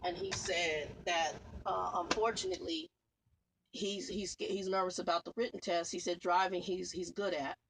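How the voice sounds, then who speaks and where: A woman's voice: lowish voice, fairly even intonation, moderate pace, a bit bright, a little hiss or hum, rough recording. A woman truck driver vlogging in a casual, rambling way, repeating herself and calling her viewers y'all. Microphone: phone.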